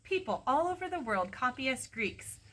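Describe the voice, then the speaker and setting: A woman's voice: high voice, sing-song, normal speed, a bit bright, pitched above the performer's own voice, full character voice. A woman voicing a proud, knowledgeable Greek man who guides a young visitor in a storybook, declarative and instructive.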